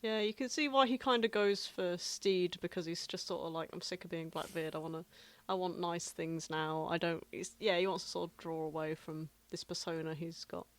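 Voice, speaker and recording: A woman's voice: lowish voice, fairly even intonation, moderate pace, hardly any pauses. A woman co-hosting a podcast, chatting casually and with amusement in loose, informal phrases. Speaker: podcast co-host. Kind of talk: casual chat.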